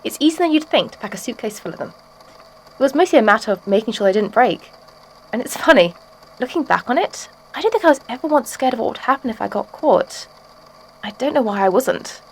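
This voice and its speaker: A woman's voice: on the high side, lively intonation, brisk, slightly rough, poor audio. A woman playing a former schoolteacher turned obsessive artist, giving a chatty, matter-of-fact confession in an audio drama. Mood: calmly fascinated. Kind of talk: audio drama statement monologue.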